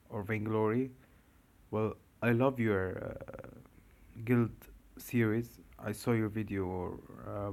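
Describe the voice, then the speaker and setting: A man from Egypt with an Egyptian accent, explaining a game character build in a casual fan video, hesitant with many fillers and restarts, and suddenly loud when stressing a point. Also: lowish voice. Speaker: gamer making a fan video.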